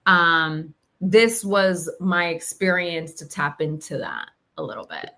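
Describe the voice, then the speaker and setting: A woman talking reflectively and casually about personal experience, feeling her way through her thoughts in a halting, searching delivery. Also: deep voice, lively intonation, fairly bright tone. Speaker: podcast host sharing personal reflections. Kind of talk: reflective, casual spiritual conversation.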